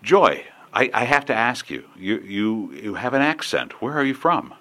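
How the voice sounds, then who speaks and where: A man's voice: mid-range voice, very animated, moderate pace, pushed and bright. A man hosting a business radio show, interviewing guests in a friendly, chatty way. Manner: friendly, chatty questioning with some repeated starts. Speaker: radio talk show host.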